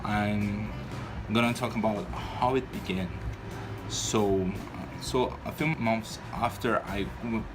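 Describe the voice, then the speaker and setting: A man in his twenties from Brazil, speaking English with a Brazilian Portuguese accent in a casual personal vlog, hesitant and shy, with frequent restarts. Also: fairly low pitch. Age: 20s. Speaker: vlogger.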